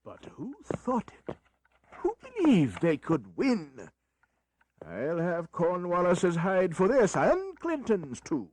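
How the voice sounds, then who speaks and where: A close, dry recording of a weary statesman. His voice sits fairly high and swings widely, with bitter irony and theatrical flourish, as in a historical audio drama. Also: wildly swooping pitch, slow, darkish tone, dry recording, heavily acted. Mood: exasperated. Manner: theatrical, wry, deferential to the king.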